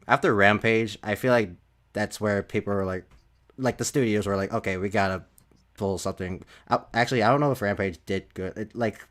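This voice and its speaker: Man, low voice, podcast host, casual chat, halting delivery, frequent word repetition. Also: fairly bright tone. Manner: hesitant, halting, repeats himself.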